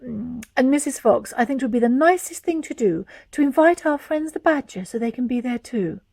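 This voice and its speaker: A woman's voice: sits high, lively intonation, fairly quick, gravelly, darkish tone, dry recording, heavily acted. A woman voicing a gallant, eager fox husband in a storybook, courteous and full of exclamations. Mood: cheerful.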